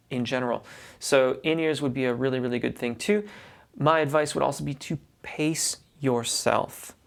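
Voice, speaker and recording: Gravelly guy voice teacher, reads out a question then gives advice, lots of restarts. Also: mid-range voice. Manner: reads a viewer's letter aloud, then gives practical advice with many restarts. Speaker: voice teacher answering a viewer question. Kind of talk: instructional talk to camera.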